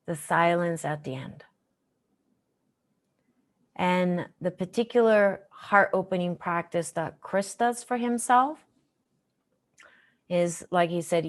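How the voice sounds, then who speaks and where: A woman teaching a guided meditation in a gentle, measured, instructional manner. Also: on the low side. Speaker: meditation teacher, session host.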